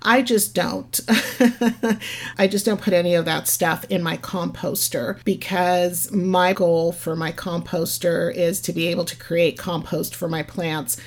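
Woman podcast host, scratchy voice, slightly low pitch, rambling casual monologue. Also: steady tone, a little slow. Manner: rambling, chatty, laughs at herself.

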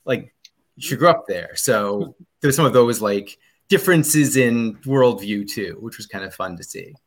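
A man's voice: lowish voice, lively intonation, unhurried. Man rambling through a travel story. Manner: rambling, many ums and restarts. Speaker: podcast guest. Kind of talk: casual travel chat.

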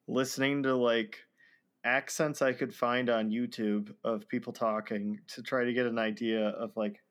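A man, a voice actor guest in a casual conversation, self-deprecating and laughing often, with halting restarts. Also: medium pitch.

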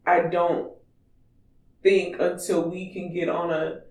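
A man with a raspy voice chatting candidly and emphatically as a podcast co-host. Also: high voice, flat delivery, slow pace. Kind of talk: casual opinionated podcast chat. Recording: distant mic in echoey room.

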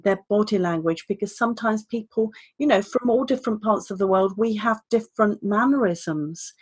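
A woman's voice: medium pitch, husky. Articulate woman speaking trainer. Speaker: speaking trainer, podcast guest. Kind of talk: conversational interview.

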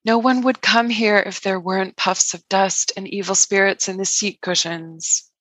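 A woman plays an earnest worker who defends the atmosphere of her spooky workplace. Her voice is mid-pitched and dryly recorded, in the manner of an improvised comedy sketch. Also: steady tone, moderate pace, a bit bright, no echo, lightly acted, poor audio. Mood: earnest, defensive.

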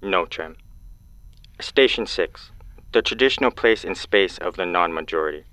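An actor playing a grave, probing caller who challenges authority in an audio drama, speaking in terse, deliberate questions. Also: male voice, low voice, animated, pushed and bright, clear, resonant tone, no echo. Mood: grave, challenging.

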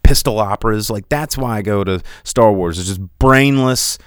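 A man with a low, smooth voice rambles through casual podcast commentary. He is animated and sarcastic, and recorded on a close mic in a dry, dead room. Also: moderate pace, no room sound. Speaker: podcast host.

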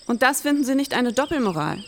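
A woman's voice: sits high, big pitch swings, fast, a little hiss or hum. A woman hosting an investigative podcast in scripted, dramatised style, as narrator and interviewer.

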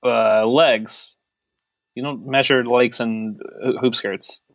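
A man's voice: mid-range voice, fairly even intonation, slow, creaky, no room sound. Joking man in casual podcast chat. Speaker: podcast co-host.

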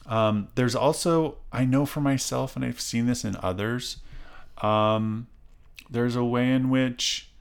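A middle-aged man co-hosting a parenting podcast who speaks thoughtfully and haltingly, with many fillers and restarts. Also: fairly low pitch, creaky. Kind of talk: casual conversation.

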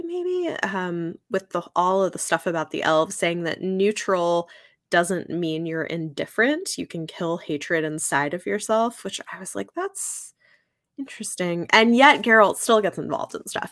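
A woman's voice: on the low side, lively intonation, moderate pace, a bit bright, no room sound, studio quality. A woman co-hosting a book podcast, talking in casual, conversational chat. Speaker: podcast co-host discussing books.

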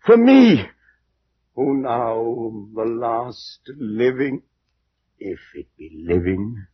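Wildly histrionic, tormented gothic heir. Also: male voice, medium pitch, big pitch swings, very slow, breathy edge, heavily acted. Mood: tormented dread. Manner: histrionic, theatrical, swinging from hushed dread to hysteria. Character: tormented, half-mad male heir of an accursed gothic house. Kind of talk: 1940s-50s radio horror drama acting. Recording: muffled vintage radio recording.

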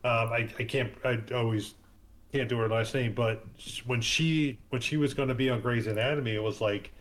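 A man's voice: medium pitch, fairly even intonation, normal speed, fairly bright tone. A man from the US East Coast chatting casually, rambling with lots of fillers and restarts. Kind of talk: casual chat.

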